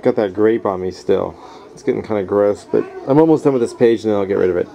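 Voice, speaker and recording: A man's voice: fairly low pitch, quick pace, gravelly, dark tone. A man reading a nonfiction book aloud in an informal, easygoing way, dropping in casual asides. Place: at home, household around. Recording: noisy home camera recording.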